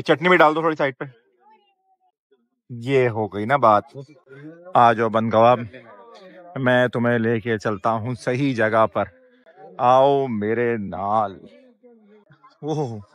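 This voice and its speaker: A man in his thirties with a Pakistani Urdu accent, hosting a casual food vlog outdoors and reacting with excited exclamations. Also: medium pitch, slow, pauses often. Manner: excited, exclamatory, chatty with staff. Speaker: food vlogger. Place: outdoor restaurant at dusk.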